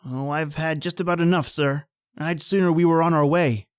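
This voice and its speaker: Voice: mid-range voice, fairly even intonation, normal speed, smooth, no room sound, clean studio sound, natural voice. An actor playing John, a nervous, deferential male companion, anxious and complaining, in the style of old-time radio horror.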